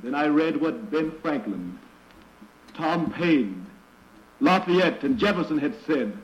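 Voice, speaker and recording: An actor playing a proud, defiant enslaved man, speaking in a deliberate, declamatory way in an old radio drama. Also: fairly high pitch, expressive, reverberant, highs cut off. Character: proud, defiant enslaved man, fearless gambler turned rebel. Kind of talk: 1940s radio drama acting.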